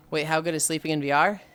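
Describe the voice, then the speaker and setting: A woman's voice: sits low, a bit bright. A woman in her thirties chatting casually on a livestream. She sounds tired and sardonic, sighs often, and swears freely. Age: 30s. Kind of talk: casual stream chat.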